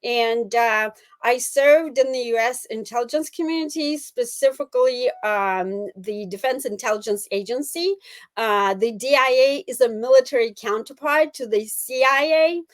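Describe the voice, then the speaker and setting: Russian-accented woman, middle aged, fairly high voice, analyst explaining stuff on an interview, emphatic w pauses. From Soviet Union. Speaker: guest analyst, former intelligence officer.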